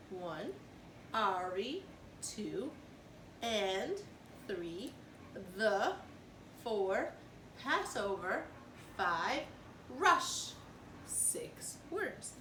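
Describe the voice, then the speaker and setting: A woman reading a storybook aloud to young children in an expressive, teacherly way, pausing to explain and ask questions. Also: medium pitch, distant mic. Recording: roomy echo, clear background noise.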